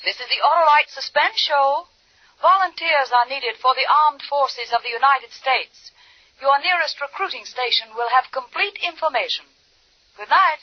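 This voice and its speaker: High-voiced woman announcer, 1940s radio. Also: moderate pace. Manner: crisp, formal. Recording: dull 1940s radio recording.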